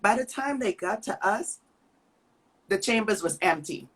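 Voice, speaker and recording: A woman's voice: mid-range voice, lively intonation, unhurried, husky. A middle-aged woman with a Trinidadian accent, speaking bluntly and with frustration in a casual livestream chat over a video call. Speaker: cannabis business owner and co-host.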